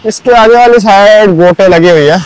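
A man's voice: high-pitched, normal speed, smooth, no echo, low-quality recording. A young man narrating a casual walking tour of a beach at sunrise, chatty, with lots of filler words. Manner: chatty, keeps saying "bhai" and "matlab". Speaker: vlogger.

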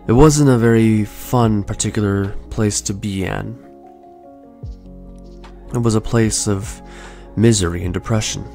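Young man, soothing soft delivery, very slow, low-pitched, faint lo-fi music behind. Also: creaky. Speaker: podcast host. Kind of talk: calm reflective storytelling.

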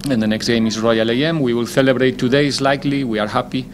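A man, a football head coach, answering press conference questions in a measured, deliberate way, often pausing to find his words. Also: medium pitch, steady tone, background noise.

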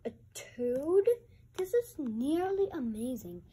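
A woman reading a picture book aloud and adding chatty, exclamatory comments in a halting way. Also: high-pitched, sing-song, mellow, breathy edge. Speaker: read-aloud host. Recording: noisy amateur home recording.

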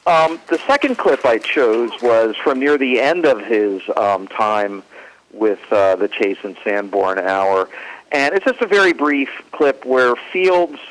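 Male event presenter, mid-range voice, informal and halting. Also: medium pitch, lively intonation, poor audio. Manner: halting, searching for words. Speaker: convention presenter. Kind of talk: informal clip introduction.

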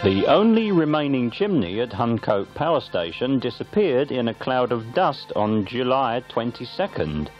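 Lively male newsreel narrator, dull lo-fi sound. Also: medium pitch, normal speed, few pauses, faint music behind. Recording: band-limited, dull lo-fi videotape sound.

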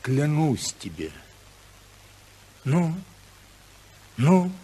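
An actor playing a commanding, domineering magnate, imperious and demanding, in 1950s radio drama style. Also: male voice, a little high, very animated, extremely slow, warm tone, slight background noise, full character voice. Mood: stern, coaxing.

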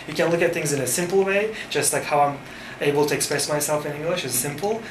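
A man in his thirties from Turkey, Turkish native, speaking English with a Turkish accent in a casual interview, pausing often with fillers. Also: a little high. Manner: thoughtful, halting with many fillers. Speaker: design firm founder. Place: cafe-like room with framed wall, indoors. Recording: echoey room with background noise.

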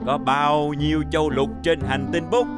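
A man voicing a patient older male chalk-stick schoolteacher in a children's cartoon, measured and instructive. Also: a little high, fairly even intonation, loud music behind the voice, lightly acted. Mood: instructing. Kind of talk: animated children's cartoon dialogue.

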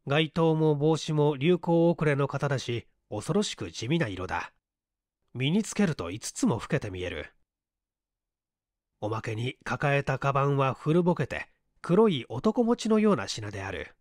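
A man reading a mystery story as scripted literary narration, in a measured storytelling manner. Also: medium pitch, big pitch swings, a little mellow, dry recording, studio quality. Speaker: audiobook reader, stage actor.

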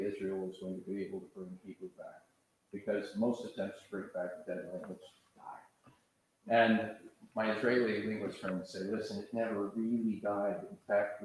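A man's voice: lowish voice, darkish tone. An older American man from the Pacific Coast gives a conversational, digressive lecture, pacing in front of a seated audience in a large lecture hall, picked up by a far camera. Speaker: professor leading a master class.

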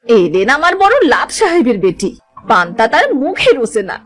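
A woman's voice: on the high side, sing-song, moderate pace, soft background music. A woman voicing a scolding, sarcastic, exasperated village mother in a cartoon. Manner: nagging, sarcastic. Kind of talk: cartoon voice acting.

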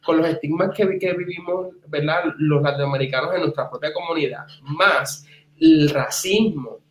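A man's voice: fairly high pitch, normal speed. A man in his thirties with a Puerto Rican accent, speaking passionately and emphatically as an interview guest on a video call. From Puerto Rico. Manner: passionate, emphatic, repeats words for stress. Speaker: interviewee, community advocate. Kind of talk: conversational interview answers in Spanish with some English words. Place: indoors, small wood-walled room at home. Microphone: computer mic on a video call. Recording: roomy, echoey video call.

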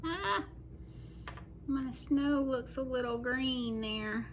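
A woman's voice: sits high, drawn-out words, slight background noise. Chatty Southern woman painting at home. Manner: chatty, folksy, talks while working. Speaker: craft painting host. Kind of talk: casual painting tutorial. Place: home kitchen table, indoors.

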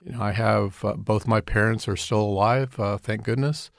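A man's voice: fairly low pitch, fairly even intonation, normal speed, creaky, no echo, studio quality. A middle-aged man answering radio interview questions earnestly, often repeating and restarting words. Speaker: community development director, guest.

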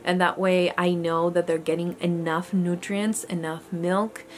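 Chatty young woman, low voice. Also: little pitch movement, measured pace, a little hiss or hum. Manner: chatty and earnest, with many restarts. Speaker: homesteading vlogger. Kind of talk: casual talk to camera. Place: indoor room with goat pen, daytime. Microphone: camera close in front.

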